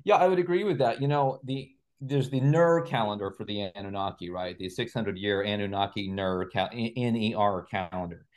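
A man's voice: on the low side, steady tone, normal speed, few pauses. A man speaking as an interview guest, explaining speculative ideas in an articulate, assertive, conversational way. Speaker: interview guest, writer and researcher.

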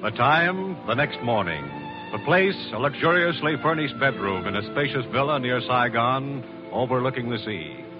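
A man's voice: medium pitch, expressive, moderate pace. Announcer, male: scripted vintage radio narration over prominent music, muffled period recording. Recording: dull old radio recording.

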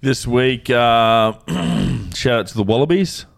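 A man's voice: medium pitch, animated, slow pace, gravelly, no room sound, clean studio sound. A young Australian man co-hosting a podcast, chatting in crude, joking banter and laughing often. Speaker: podcast co-host. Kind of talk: casual banter.

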